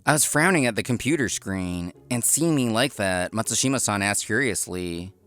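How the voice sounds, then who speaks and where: A man's voice: on the low side, expressive, moderate pace, a bit bright. A man playing an earnest, work-obsessed young restaurant owner who narrates his own manga story and gets flustered easily. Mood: earnest, reflective. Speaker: narrator and lead character. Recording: music behind the voice.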